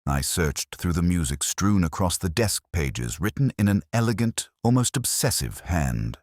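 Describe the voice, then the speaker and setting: A middle-aged man reading a scripted first-person detective story in a measured, brooding, literary manner. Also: sits low, sing-song, a little mellow, no room sound, studio quality.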